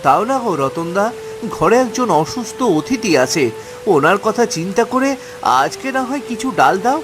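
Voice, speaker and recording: A man's voice: high voice, big pitch swings, normal speed. A man playing a kind-hearted, earnest and hesitant poor husband in a cartoon. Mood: pleading. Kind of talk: Bengali moral-story cartoon acting. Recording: music under the voice.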